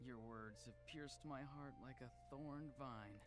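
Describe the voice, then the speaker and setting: A man's voice: mid-range voice, measured pace, natural voice. Game role, male performer: theatrical young poet type, formal and literal-minded, game music underneath. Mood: wounded, theatrical. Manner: florid, solemn, formal phrasing. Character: melodramatic, poetic, oddly literal young man. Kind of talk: video game character acting.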